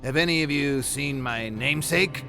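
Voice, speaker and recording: A man voicing a small, maniacal evil puppet in a horror story, with a mocking, drawn-out showman delivery. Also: medium pitch, gravelly, music in the background, pitched above the performer's own voice, lightly acted. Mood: brash, mocking.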